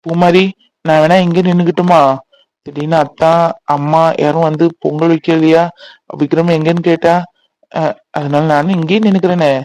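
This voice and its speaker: An actor playing an earnest, persistent young bridegroom in a Tamil serial, talkative and pleading in a boyish way. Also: male voice, on the high side, steady tone, moderate pace, pauses often, darkish tone, no room sound, lightly acted, low-quality recording. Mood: puzzled, hurt. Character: earnest, persistent young bridegroom devoted to his scornful wife.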